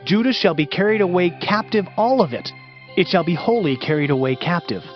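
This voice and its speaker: An actor playing a grave, lamenting Hebrew prophet in a solemn, declamatory dramatized Bible reading. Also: male voice, sits high, expressive, a little fast. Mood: grave warning. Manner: solemn, declamatory, archaic phrasing. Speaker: dramatized scripture reader. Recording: dull audiobook sound with music underneath.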